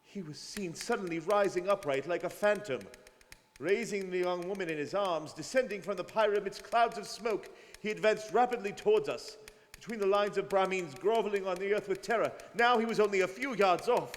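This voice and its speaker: An actor playing a grave detective who recounts a journey in formal, suspenseful radio drama narration. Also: male voice, sits high, brisk, natural voice. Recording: reverberant hall with faint noise.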